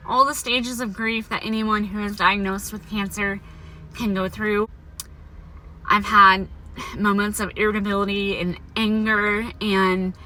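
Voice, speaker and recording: A young woman speaks candidly and warmly to her viewers, her voice pitched high and very bright because of a prosthetic in her mouth. She moves between reflection and encouragement in an open, personal way. Faint music plays quietly under her voice. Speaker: vlogger sharing her cancer survival story. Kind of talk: personal talking-to-camera vlog.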